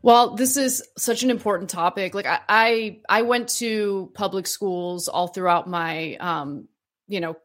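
A woman's voice: mid-range voice, measured pace, a bit bright. A woman who grew up in Los Angeles with Armenian as her first language, hosting a show and reflecting with hesitant fillers. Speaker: host. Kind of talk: casual chat.

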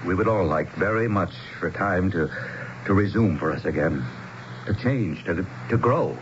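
An actor playing an earnest, anxious, educated town leader, speaking formally and haltingly as he explains, in a radio drama. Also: sits low, expressive. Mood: earnest, eager. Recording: muffled, noisy 1970s radio broadcast.

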